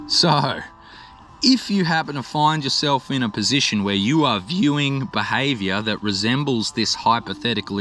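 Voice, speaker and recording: Bright-voiced Australian man, chatty explainer. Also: medium pitch. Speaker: vlogger, musician. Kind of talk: casual explainer to camera.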